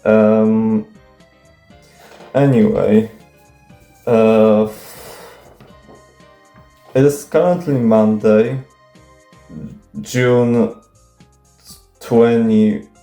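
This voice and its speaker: Nervous polish guy rambling slow, lots of ums, echoey room w/ faint music. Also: lowish voice, steady tone, mellow. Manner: hesitant, stuttering, many fillers and restarts; nervous, self-conscious, casual swearing. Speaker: YouTuber answering viewer questions. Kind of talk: unscripted Q&A monologue.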